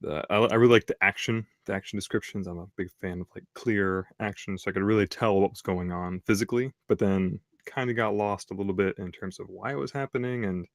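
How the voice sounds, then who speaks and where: A man's voice: on the low side, a little fast, few pauses, no room sound, studio quality. A man, a voice actor, giving informal feedback on a script in a hesitant way, repeating words as he goes.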